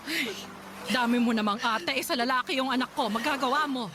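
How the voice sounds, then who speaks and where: A woman's voice: high voice, a little fast, a crowd in the background, natural voice. An actress playing an indignant, overbearing old mother-in-law with histrionic scolding in a Tagalog radio drama.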